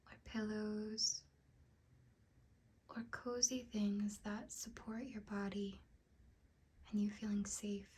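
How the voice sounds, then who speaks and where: Female meditation guide, ASMR style: hushed, very breathy delivery, even and gentle, unhurried. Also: mid-range voice. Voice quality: breathy, whispery. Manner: soothing, gentle, unhurried with long pauses.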